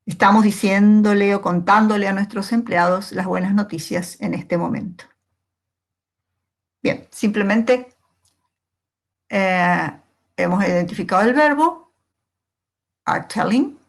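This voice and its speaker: Female language teacher, medium pitch, unhurried and deliberate pace, explains grammar step by step and answers her own questions. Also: creaky. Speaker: English teacher.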